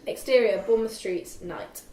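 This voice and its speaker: A young woman reading screen directions as narrator at a script table read, halting and stumbling a little over the text. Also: medium pitch, warm tone. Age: young adult. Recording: echoey room.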